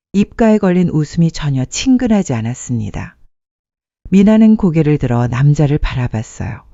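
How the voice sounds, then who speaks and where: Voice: mid-range voice, mellow, dry recording. A narrator reading a scripted dramatic story as a storyteller. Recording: muffled dry studio sound.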